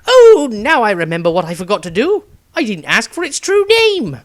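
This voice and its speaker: A man voicing a flamboyant, foolish male wizard, cocky, excitable and blustering, in a fantasy story. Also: normal speed, no echo. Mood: exasperated realization. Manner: exclamatory, high-flown, blustering.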